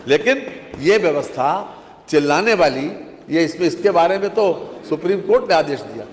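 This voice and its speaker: A male minister makes an emphatic impromptu rebuttal, repeating phrases and using formal honorifics. His slightly high voice echoes strongly around a big legislative chamber. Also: expressive, normal speed. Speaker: government minister replying in a state assembly. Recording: reverberant assembly hall, faint noise.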